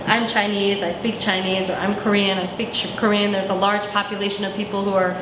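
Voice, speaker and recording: A young woman from Arizona answering interview questions thoughtfully, often pausing with "um" as she searches for words. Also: medium pitch, level tone. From Arizona, USA. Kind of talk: reflective personal interview. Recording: echoey room with clear background noise.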